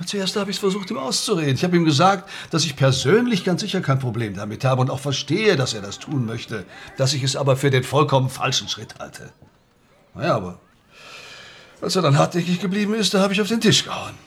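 An actor playing a defensive, blustering middle-aged football club boss with an emphatic manner in a screen drama. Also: male voice, fairly high pitch, expressive, brisk, slight background noise. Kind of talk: dramatic film dialogue.

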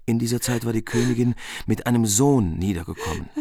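A male narrator telling an epic tale, grave and measured, mid-pitched voice with a gravelly edge. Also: lively intonation, dark tone.

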